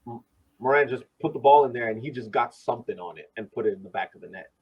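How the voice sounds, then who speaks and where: Husky man talking soccer, muffled audio. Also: mid-range voice, quick pace. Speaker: podcast host and soccer supporter. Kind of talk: casual sports podcast discussion.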